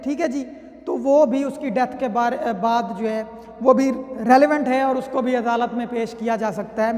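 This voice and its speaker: A man's voice: extremely high pitch, darkish tone. A man with a Pakistani accent giving an explanatory law lecture in a conversational way, mixing in English terms. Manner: conversational teaching, mixes English legal terms into speech. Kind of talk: explanatory lecture with worked examples. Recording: long hall-like reverb.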